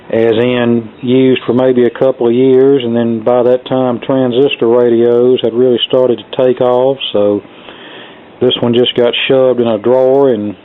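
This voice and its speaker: A man giving a casual, unscripted hands-on demonstration as a repair hobbyist, explaining things in a matter-of-fact, rambling way. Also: mid-range voice. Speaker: vintage radio repair hobbyist presenting a video. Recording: thin phone-like sound, clearly noisy.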